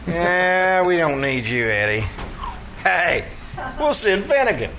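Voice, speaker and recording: A man's voice: high-pitched, big pitch swings, slow, bright, forceful tone, heavily acted, poor audio. An actor playing a scheming, blustering tavern manager who mangles his English in a folksy, pompous way, in old-time radio comedy style. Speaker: troupe actor. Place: radio theater stage. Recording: live stage show, faint noise.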